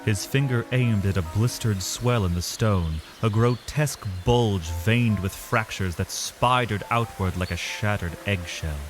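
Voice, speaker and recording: A man delivering dramatic, measured literary narration as the storyteller of a scripted fantasy tale. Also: lowish voice. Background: music.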